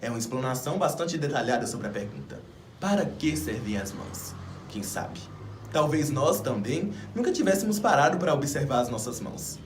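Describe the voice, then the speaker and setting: A man's voice: mid-range voice, expressive, fairly quick, faint music behind, close to an everyday voice. A man with a Brazilian accent, an actor delivering a scripted theatrical monologue presentation. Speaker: stage actor. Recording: echoey room with faint background noise.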